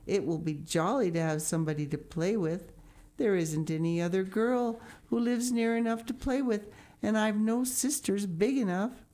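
A woman's voice: medium pitch, moderate pace, warm tone, pitched above the performer's own voice, close to an everyday voice. A woman reading aloud and voicing a frank, cheerful young girl who laughs easily and can be a bit prim. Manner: frank, laughing, slightly rebuking. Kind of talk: audiobook character voice.

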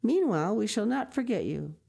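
A gracious, well-to-do lady voiced by a woman speaks in a warm, firm and reassuring way. Her voice sits a touch above the narrator's and has a mellow tone, in a storybook reading. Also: medium pitch, very animated, brisk, creaky, breathy edge, voice pushed up, full character voice. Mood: kindly. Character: kindly, dignified wealthy lady, reassuring.

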